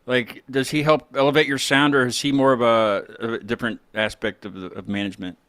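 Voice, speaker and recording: A man's voice: mid-range voice, flat delivery, fairly quick, fairly bright tone, dry recording. A man hosting an interview as a casual chat, riffing jokey suggestions. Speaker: interviewer, host.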